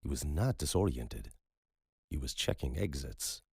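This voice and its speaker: A man narrating a dark thriller audiobook in a measured, moody storytelling manner. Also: very deep voice, expressive, creaky, slightly rough, dry recording, studio quality.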